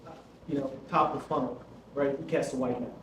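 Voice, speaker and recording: A man's voice: medium pitch, fairly even intonation, fairly quick, reverberant, recorded from a distance, a little hiss or hum, low-quality recording. A man in the audience, a consultant, asking a casual question and checking in with repeated "right?".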